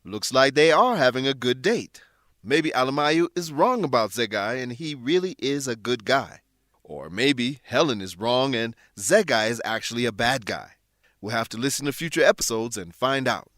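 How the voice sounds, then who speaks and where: A man hosts and narrates an educational radio show in a scripted, explanatory style. His medium-pitched voice moves expressively, and the recording is dry and close. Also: animated, normal speed, a bit bright, dry recording. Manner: clear, explanatory, addresses listeners. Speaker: narrator and English teacher.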